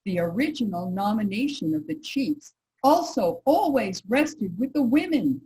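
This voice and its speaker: An assured nineteenth-century suffragist, played by a woman addresses an audience in a dramatic reading. Her intonation is lively and varied, rising and falling freely. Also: mid-range voice, a little slow, rough recording. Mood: assured, declarative. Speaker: reader's theater performer.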